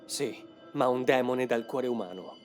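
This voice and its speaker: A man's voice: medium pitch, a little slow, darkish tone, lightly acted. A man voicing a grave, determined young man in a motion comic dub, with a solemn, explanatory delivery. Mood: resolute. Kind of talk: dramatic motion comic dubbing. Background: music.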